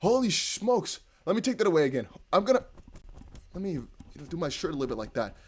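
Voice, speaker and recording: A young man with a Dutch accent hosting a casual livestream, reading chat aloud and breaking into excited whoops. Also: on the high side, fast talker, slight background noise. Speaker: crypto livestream host.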